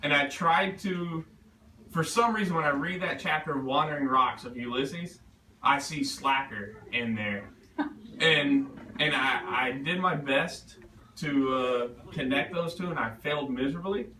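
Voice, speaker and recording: A man's voice: a little high, unhurried, bright, forceful tone. Rambling man in an echoey, noisy room. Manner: rambling, self-deprecating, thinks aloud. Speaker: author at a book reading. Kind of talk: informal question-and-answer talk at a reading. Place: bookstore event room. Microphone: distant room microphone. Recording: echoey room, distant mic, noisy background.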